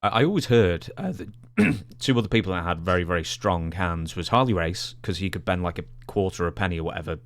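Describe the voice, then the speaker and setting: A man's voice: low-pitched, quick pace. A man working as a podcast host, asking questions in a conversational interviewing style.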